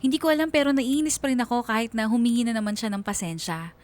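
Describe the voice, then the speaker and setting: A woman's voice: on the high side, quick pace, a little mellow, soft background music, close to an everyday voice. An actress playing a young college woman narrating her love story in a sentimental, conversational Filipino radio drama. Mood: irritated.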